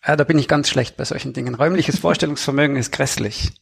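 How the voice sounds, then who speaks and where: Man with gravelly fry, chatting wryly. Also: medium pitch, quick pace. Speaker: thriller author and podcast guest. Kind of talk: casual panel chat.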